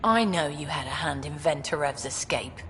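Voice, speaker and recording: A woman's voice: low voice, normal speed, fairly bright tone, big-room sound, a little hiss or hum. A woman playing a hardened, short-tempered outlaw leader in a video game, speaking in a blunt, menacing way. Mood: cold threat. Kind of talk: video game cutscene acting.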